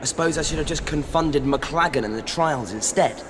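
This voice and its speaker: An actor playing an earnest, loyal teenage schoolboy hero in a video game. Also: male voice, a little high, moderate pace, background noise, natural voice. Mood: confident.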